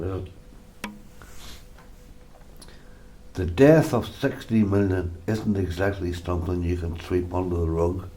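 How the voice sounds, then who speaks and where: A man playing an elderly war veteran grandfather in a radio drama, sombre and bitter, speaking in a grave, reflective, deliberate way. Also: low-pitched, warm tone, lightly acted. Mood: bitter, mournful. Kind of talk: amateur radio drama monologue.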